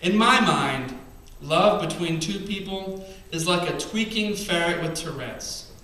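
Male spoken word poet, thirties, bright edge, punchy rhythmic reading into a stand mic, heard from the back of a boomy cafe. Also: expressive, unhurried. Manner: emphatic, rhythmic poetry delivery with quiet asides between poems. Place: small cafe stage. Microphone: stand mic, far camera. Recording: echoey venue, camera from far off.

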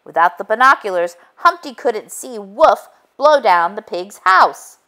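A woman's voice: on the high side, sing-song, unhurried, pushed and bright, voice pushed up, full character voice. A woman voicing a male egg detective from a storybook, earnest and wisecracking, with a questioning, matter-of-fact manner. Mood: explaining.

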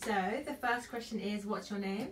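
A woman's voice: mid-range voice, steady tone, moderate pace, reverberant. A woman friend reading out interview questions in a short, dry manner.

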